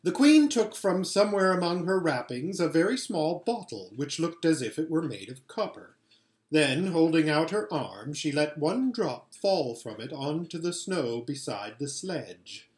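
A middle-aged man reading a storybook aloud in a measured, expressive way. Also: on the high side. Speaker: pastor reading books aloud. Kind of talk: storybook read-aloud narration. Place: furnished living room indoors. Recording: roomy home webcam sound.

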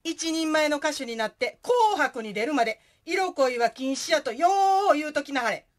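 Bossy ghost wife, female actor, Kansai accent, high animated voice, blunt comic radio scolding. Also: moderate pace.

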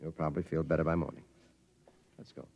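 An actor playing a calm base doctor in a 1950s radio drama, measured and soothing. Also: male voice, a bass voice, gravelly, slightly rough, highs cut off, heavily acted. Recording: old 1950s radio broadcast.

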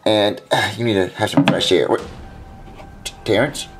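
A man's voice: on the low side, normal speed, faint music behind, lightly acted. A man voicing an anxious, naive little boy puppet in a scripted comedy, hesitant and stammering. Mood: sleepy, groggy. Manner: hesitant, stammering, repeats phrases when worried.

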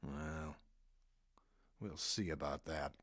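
A man playing a gruff, irritable estate manager with a blunt, grumbling manner in an audio drama. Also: very deep voice, takes it slowly, a bit bright, dry recording, studio quality, heavily acted. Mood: grudging.

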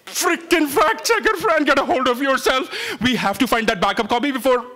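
A middle-aged man playing a bossy, melodramatic colleague on a frantic quest, theatrical and halting, in a staged comic audio drama. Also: extremely high pitch, sharp tone. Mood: urgent, commanding. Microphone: microphone on a stand. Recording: noisy live stage recording.

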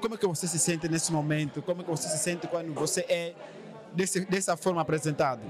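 Young male interviewer, slightly high voice, casual questioning, clear crowd chatter behind. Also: fairly even intonation, fast talker. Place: indoor hall at community event. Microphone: handheld interview microphone. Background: clear crowd murmur.

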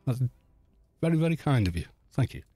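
A man's voice: mid-range voice, sing-song, fairly quick, warm tone, no room sound. Chatty Welsh man in his fifties. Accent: Welsh with some northern English. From Wales. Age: 50s. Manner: chatty, rambling, laughs easily, reads chat aloud. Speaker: game streamer. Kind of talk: live stream commentary while playing.

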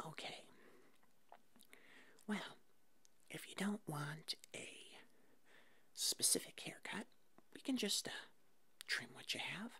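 A woman whispers breathily and slowly, playing an easygoing hairdresser in an ASMR roleplay. Her soft voice sits low and murmurs short, reassuring phrases without hurry. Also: lively intonation, husky. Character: fuzzy monster puppet hairdresser, attentive and easygoing.

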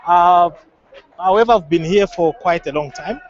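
A man from the DR Congo with a Congolese accent, giving earnest, halting answers in an outdoor street interview. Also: high-pitched. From Democratic Republic of the Congo. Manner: earnest, hesitant, halting phrasing. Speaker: member of the public being interviewed. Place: outdoors at a crowded street gathering. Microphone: handheld interviewer's microphone. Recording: muffled, band-limited outdoor field audio.

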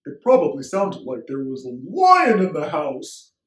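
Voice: mid-range voice, huge pitch swings, measured pace, reverberant, voice pushed down. A performer voicing a genial, playful dad of a young boy, chatting cheerfully in a children's story. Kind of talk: acted children's story dialogue.

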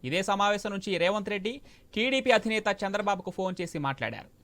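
A man's voice: high-pitched, animated, fast talker, bright, forceful tone. A man working as a news anchor, reading reports and putting questions to a correspondent.

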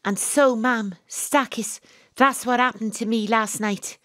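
Excitable young Welsh female sleuth, audio drama, breathless suspense, close dry studio sound. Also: a little high, unhurried, dry recording. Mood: shaken. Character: Astrid, excitable young Welsh woman investigator, narrating. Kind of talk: audio drama narration and dialogue.

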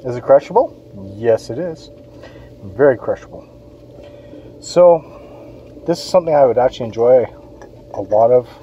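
A man's voice: medium pitch, lo-fi sound, a little hiss or hum. A man from Western Canada, a parent, giving a casual, chatty beer review on an outdoor patio. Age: adult, parent of kids. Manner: chatty, informal, reads label aloud.

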